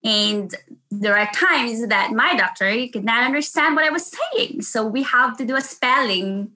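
A woman's voice: a little high, pushed and bright, rough recording. A middle-aged woman with a Filipino accent, a nurse telling casual stories in an interview, chatty and laughing often. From Philippines. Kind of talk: casual storytelling.

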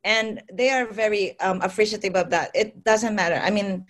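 A woman's voice: mid-range voice, fairly even intonation, moderate pace, a bit bright. Hesitant Filipino woman. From Philippines. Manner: hesitant, many fillers, laughs easily. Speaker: nurse. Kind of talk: panel discussion.

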